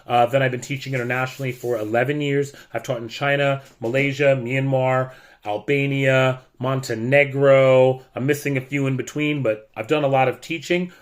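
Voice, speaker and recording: A middle-aged man from the United States talks straight to the camera in a loud, blunt voice. He sounds frustrated and emphatic as he vents. His pitch sits in the middle range. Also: fairly even intonation, normal speed. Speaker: teacher. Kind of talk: warning vlog rant.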